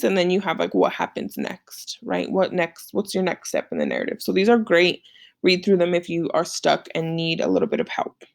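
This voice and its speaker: A woman's voice: medium pitch, creaky. A woman teaching informally, walking students through an assignment in a conversational way and often checking in with short tag questions. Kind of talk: informal screen-share lesson, walking through slides.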